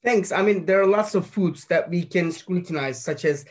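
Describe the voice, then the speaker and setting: A man's voice: sits high, normal speed. Guy moderating an academic panel, rambly, hunting for words. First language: Turkish. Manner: rambling, searching for words while framing questions.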